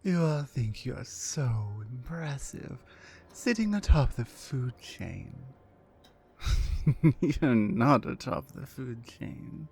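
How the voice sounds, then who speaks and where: A woman playing a towering, mean, dominant orc captor in an ASMR roleplay, commanding and deliberate. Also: rumbling low, expressive, darkish tone, slightly rough, full character voice. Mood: scornful, threatening. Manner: menacing, commanding, slow and deliberate.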